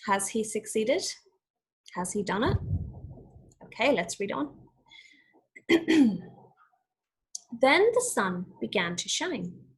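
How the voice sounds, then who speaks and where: Husky-voiced woman teacher reading to children. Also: fairly high pitch, low-quality recording. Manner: didactic, expressive, deliberate. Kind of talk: storytelling with explanations and questions for young children.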